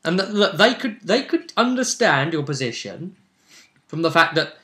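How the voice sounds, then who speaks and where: A man's voice: on the high side, big pitch swings, moderate pace, a bit bright. A man chatting casually as a game master, recapping a tabletop role-playing session and acting out bits of dialogue as he goes. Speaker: game master and video host.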